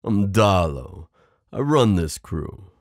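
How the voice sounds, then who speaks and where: A man voicing a smooth, possessive mafia boss in an ASMR roleplay, menacing yet protective, teasing the listener with pet names. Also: deep voice, sing-song, slow, no room sound, studio quality, exaggerated voice. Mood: controlled, teasing. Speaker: audio roleplay performer. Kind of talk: ASMR roleplay drama acting.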